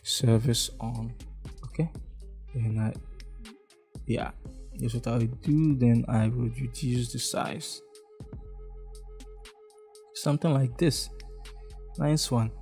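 A man's voice: medium pitch, slow pace, pauses often, faint music behind. A young man from Ghana with a Ghanaian English accent, talking step by step through a screen-recorded design tutorial and often checking in with "okay?". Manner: relaxed, step-by-step, frequent "okay?" check-ins. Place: indoor room, face in webcam corner.

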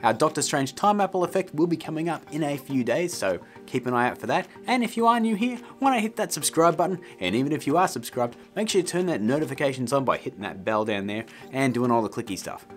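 A man's voice: medium pitch, lively intonation, fast, few pauses. A man in his thirties narrating a tutorial in a casual, informal way. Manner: informal, addresses viewers as guys. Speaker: host of audio effect tutorials. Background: background music.

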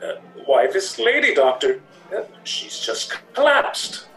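Casting: actor as formally polite, secretly crooked waiter, very lively intonation, off the mic, radio mystery. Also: male voice, sits high, takes it slowly, soft background music, exaggerated voice. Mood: flustered. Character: deferential waiter secretly in league with villains. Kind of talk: period radio play acting. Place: at home on a video call. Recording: roomy, distant home video-call sound.

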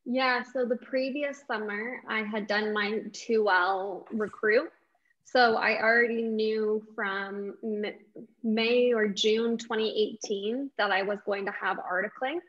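A woman's voice: mid-range voice, fairly even intonation, takes it slowly. A woman in her late twenties answering interview questions conversationally over a video call from a room at home. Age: late 20s. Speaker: interview guest, lawyer. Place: small indoor room at home. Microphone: wireless earbuds on a video call. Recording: dull, lo-fi video-call audio.

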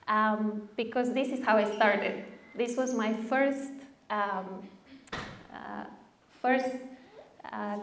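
A woman in her thirties from Bulgaria, a native Bulgarian speaker, gives an eager, chatty talk from a podium mic. Her voice sits at a middle pitch, and the big lecture hall gives it a roomy echo. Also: a little hiss or hum. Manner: enthusiastic, chatty, asks the audience with "right?" and "okay?". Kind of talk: conference talk. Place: large darkened lecture hall. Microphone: podium mic on a stand.

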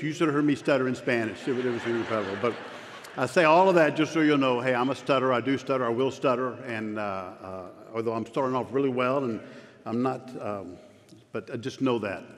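Stuttering man preaching in a big hall. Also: mid-range voice. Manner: stutters, self-deprecating and joking. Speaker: pastor. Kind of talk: sermon. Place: large church sanctuary stage. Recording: long hall reverb, faint room noise.

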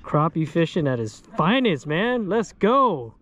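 A young man hosting a fishing vlog from a boat on a lake, excitable and chatty, often shouting when a fish bites. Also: a little high, measured pace, dull audio. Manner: excitable, shouts on catches, says dude and bro a lot. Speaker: fishing channel host. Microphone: action camera worn on head.

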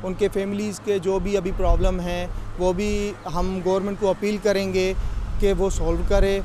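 A young male lawyer giving an earnest, halting appeal in an outdoor street interview beside a car park. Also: sits high, level tone, dark tone, a little hiss or hum.

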